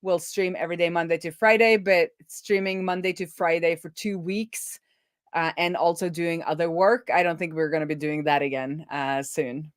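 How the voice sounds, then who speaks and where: A woman's voice: lowish voice, fairly even intonation, moderate pace, no room sound. Casual woman livestreamer, close dry sound. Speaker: livestream host.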